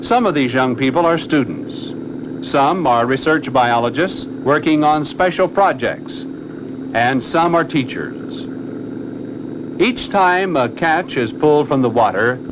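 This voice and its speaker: Formal 1960s educational film narrator, male, noisy soundtrack. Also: medium pitch. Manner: formal, measured, expository.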